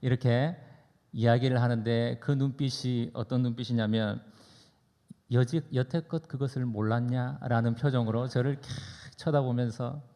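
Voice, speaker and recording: A man preaching as a pastor in a conversational, anecdotal sermon style, deliberate and pausing between phrases. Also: medium pitch, steady tone, mellow. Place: church sanctuary during a service. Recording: reverberant hall, long echo.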